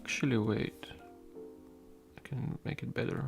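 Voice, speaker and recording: Young russian-native man, unhurried drawl, rambly and swears a lot, quiet music under him. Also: medium pitch, steady tone, creaky. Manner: laid-back, rambling, swears casually. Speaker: solo game developer streaming. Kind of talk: casual chat with stream viewers while coding.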